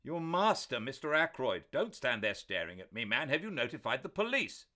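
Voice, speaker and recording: A man's voice: mid-range voice, very animated, normal speed, bright, forceful tone, pitched above the performer's own voice. A man doing an urgent, agitated doctor. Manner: urgent, demanding, raised voice. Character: agitated middle-aged male country doctor.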